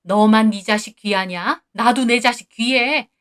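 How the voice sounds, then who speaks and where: A woman's voice: on the high side, moderate pace, close to an everyday voice. A woman voicing a furious older mother-in-law who berates and insults her daughter-in-law in a read-aloud story. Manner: shouting, berating, coarse insults.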